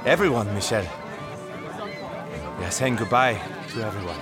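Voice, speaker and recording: A man's voice: fairly low pitch, animated, moderate pace, over loud music, over a noisy crowd, lightly acted. An actor playing an anxious, secretive French father in an audio drama, hesitant and guarded. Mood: evasive.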